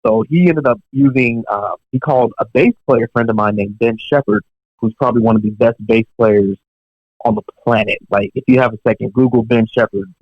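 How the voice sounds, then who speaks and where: A young man of mid-range pitch tells anecdotes from his music career in a loose, conversational way. He wanders through asides and fillers and chuckles now and then. The audio is dry and a little dull, like a home podcast setup. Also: fairly quick, dry recording. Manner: relaxed, chatty, many fillers and asides, chuckles while telling stories. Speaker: professional touring drummer, podcast guest. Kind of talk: casual storytelling in an interview.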